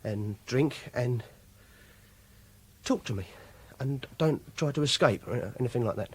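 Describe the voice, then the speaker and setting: A man's voice: mid-range voice, expressive, unhurried, slightly rough. An actor playing an awkward, obsessive young captor in a radio drama, hesitant and insistent in his speech. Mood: nervous bargaining. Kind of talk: radio drama acting with confessional narration.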